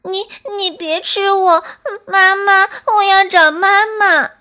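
A small, frightened squirrel boy, played by a woman in a bedtime storybook. The voice rises high and trembles, stammering as if about to cry. Pleading and timid throughout. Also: takes it slowly, a bit bright. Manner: stammering, tearful.

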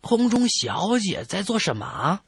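Husky, lively comedy radio host. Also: mid-range voice, unhurried, clean studio sound. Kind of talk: scripted comic banter and joke storytelling. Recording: roomy echo with music behind.

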